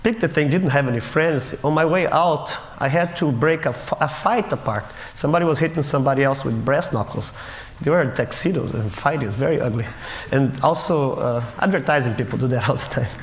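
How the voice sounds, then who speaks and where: A middle-aged man from Brazil, giving a humorous conference talk in a wry, self-mocking way with many halting restarts. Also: medium pitch. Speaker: conference speaker. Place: conference auditorium stage. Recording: roomy hall with faint noise.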